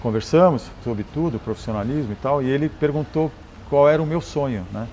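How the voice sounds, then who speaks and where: A Brazilian man, a motorcycle engineer and rally champion, telling stories in a relaxed interview, often restarting words and adding "né?". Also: mid-range voice, brisk, a little hiss or hum. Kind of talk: conversational interview storytelling.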